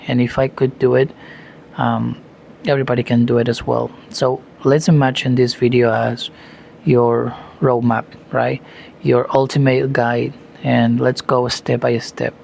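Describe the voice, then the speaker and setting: Argentine man with a gravelly, flat voice. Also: mid-range voice, slight background noise. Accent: Argentine Spanish. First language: Spanish. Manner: leans on "you know" and "um", restarts phrases. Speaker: language learning youtuber. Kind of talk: casual talking-head advice.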